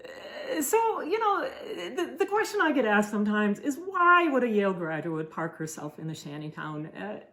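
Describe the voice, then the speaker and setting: A middle-aged woman whose first language is German, telling stories in a relaxed chat with many fillers and restarts. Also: medium pitch, normal speed, few pauses. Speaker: podcast co-host. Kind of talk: casual conversational storytelling.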